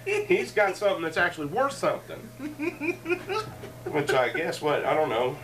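A man's voice: on the high side, sing-song, moderate pace, fairly bright tone. A middle-aged man hosting a casual, joking chat in a cluttered living room, wandering through ideas with lots of asides. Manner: joking, meandering, with frequent asides. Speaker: show host. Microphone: fixed camera across the room. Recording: distant camera mic, faint room noise.

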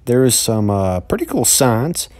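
A man's voice: mid-range voice, very animated, a little slow, a little mellow, dry recording. A man, filming a casual walk-through vlog of a big store on his phone, rambling and repeating himself. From Mississippi, USA. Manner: rambling, repeats words, upbeat. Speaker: vlogger. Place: large indoor store. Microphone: handheld phone.

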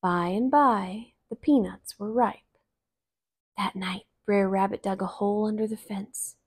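A woman in her thirties reading a children's story aloud in an expressive, deliberate way. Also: mid-range voice, slight background noise. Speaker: storybook reader. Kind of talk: children's read-aloud narration. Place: small living room, indoors on a couch.

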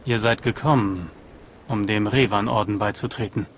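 An actor playing a proud, imposing beast master of a dark order in a video game, grandly declamatory. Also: male voice, normal speed. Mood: haughty. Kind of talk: video game voice acting in German. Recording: low rumble, rain and thunder behind.

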